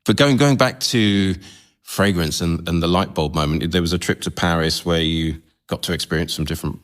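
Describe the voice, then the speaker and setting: A man's voice: low voice, moderate pace. A man hosting a podcast, asking questions in a conversational way and often affirming with short replies. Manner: encouraging, frequent short affirmations. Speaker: podcast host. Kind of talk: conversational interview.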